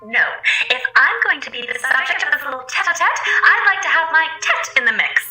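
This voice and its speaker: High-pitched, echoing, sarcastic ship AI. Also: female voice, animated, a little fast, sharp tone, soft background music, heavily acted. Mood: cool, wounded. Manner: biting sarcasm, mocking. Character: sarcastic, indignant female shipboard artificial intelligence. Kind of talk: radio drama acting.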